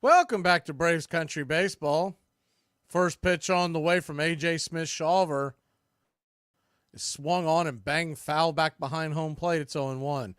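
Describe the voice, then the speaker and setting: A man calling baseball play-by-play for a fan radio stream, steady, lifting his voice on big plays. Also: fairly high pitch, a little slow, clear, resonant tone, no room sound, studio quality. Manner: steady calls, rising excitement on big plays. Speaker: play-by-play announcer.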